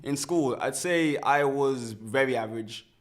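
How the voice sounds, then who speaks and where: Young man, mid-pitched and easygoing, thinks aloud with many restarts. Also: unhurried. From Birmingham, England. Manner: relaxed, reflective, many restarts and fillers. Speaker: guest, musician. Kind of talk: casual interview.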